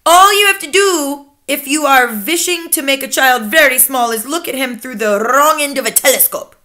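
A woman's voice: sits high, moderate pace, sharp tone, voice pushed up. A woman voicing the imperious, scornful leader of a coven of witches, in a commanding, declamatory manner.

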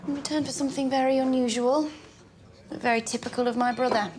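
Casting: actress for poised young Englishwoman of a crime family, period drama, higher voice, dry authority. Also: fairly even intonation, darkish tone, lightly acted. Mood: measured, dry. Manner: cool, measured, dryly assertive. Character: poised, commanding young woman of a gangster family. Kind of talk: period television drama dialogue.

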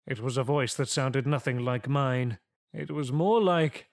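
A man's voice: medium pitch, unhurried, close to an everyday voice. An actor playing a brooding, arrogant vampire who recounts his transformation in a formal, theatrical gothic audio drama monologue. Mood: cold, hungry. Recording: music under the voice.

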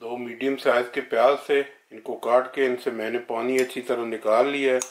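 Middle-aged man, unhurried cooking vlog talk, echoey kitchen, faint pan sizzle. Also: mid-range voice, little pitch movement, slight background noise. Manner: unhurried, friendly step-by-step explaining. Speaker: home cook. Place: home kitchen, indoors.

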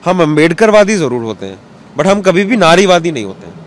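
A man's voice: fairly high pitch, very animated, a little fast. A man in his thirties giving reflective interview answers outdoors, dropping English words into his speech. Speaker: cartoonist, interview guest. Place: outdoors on a bench in a courtyard. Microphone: interviewer's handheld microphone. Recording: outdoor street noise, traffic behind.

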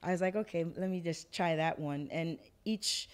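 A woman in her forties, a singer chatting casually in an interview, laughing easily and correcting herself, recorded close in a quiet room. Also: lowish voice, moderate pace.

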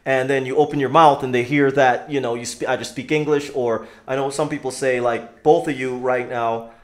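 A man from Canada hosting a podcast, asking questions and telling stories in animated casual chat. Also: medium pitch, a little fast, roomy sound. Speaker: podcast host. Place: small studio room. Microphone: studio mic on boom arm.

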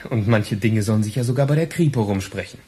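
An actor playing a dry, ironic, officious police detective in a radio crime drama, with a husky voice. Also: male voice, lowish voice, quick pace, mellow. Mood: probing, dry. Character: sardonic male police detective questioning a witness. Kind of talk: 1980s German radio crime drama acting.

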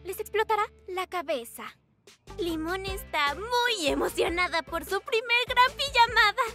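A woman's voice: very high voice, lively intonation, measured pace, loud music behind the voice, heavily acted. A woman voicing an anxious, eager little girl inventor in a children's cartoon, with dramatic, emphatic delivery. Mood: excited, boastful. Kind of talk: animated children's cartoon dub.

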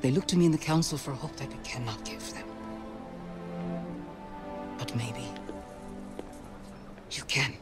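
An actress playing a grave, devout council leader in a video game, speaking formally and solemnly. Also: female voice, rumbling low, measured pace, long pauses, over music. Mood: humble, afraid. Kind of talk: video game cutscene acting.

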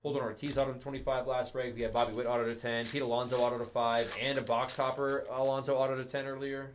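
A man's voice: mid-range voice, fast, a little hiss or hum. A young man hosting a live card break in a studio, calling out the cards he pulls in a casual, chatty way. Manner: casual, calls out cards, excited on big hits.

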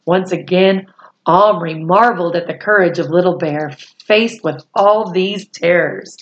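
A woman in her forties reading a storybook aloud to children, deliberate and pausing phrase by phrase, with explanatory asides. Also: fairly low pitch, lo-fi sound. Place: small room at home, indoors.

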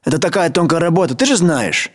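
High-voiced Russian soldier on duty. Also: male voice, sing-song, fast. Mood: grumbling banter. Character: gruffly bantering Russian soldier on base duty. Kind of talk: video game military voice lines.

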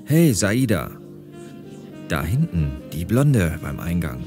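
A man's voice: low-pitched, very animated, slow pace, music in the background, heavily acted. A man playing an easygoing, dry-humoured police pilot in a German audio drama. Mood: casual, dry.

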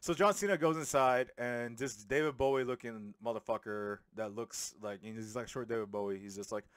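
A man in his thirties giving a rambling, animated, sweary film review in a casual comedic style. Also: mid-range voice, fairly quick, smooth, no echo, clean studio sound. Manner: animated, sweary, uses slang. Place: small room at home. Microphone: handheld microphone held close to the mouth.

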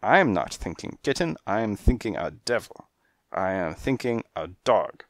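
A man's voice: fairly low pitch, very animated, dry recording, studio quality. A man voicing a theatrical, touchy female cat fortune-teller who speaks broken English with grand drama. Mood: explaining. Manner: broken English, dramatic, quick to take offence. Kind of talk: storybook character voice.

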